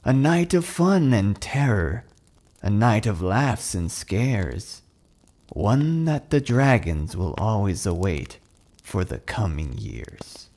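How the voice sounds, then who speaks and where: A low-voiced man narrates a tale with theatrical deliberation. He takes his time, and his pitch rises and falls widely for atmosphere. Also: sing-song, slow pace, a little mellow. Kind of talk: scripted dramatic story narration.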